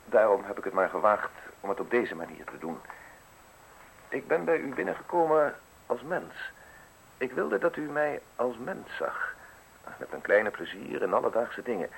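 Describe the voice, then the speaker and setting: An actor in a radio play voicing a modest, wordy public prosecutor who speaks with formal, excessive politeness and sly insinuation. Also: male voice, medium pitch, creaky, poor audio. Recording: hissy old radio play recording.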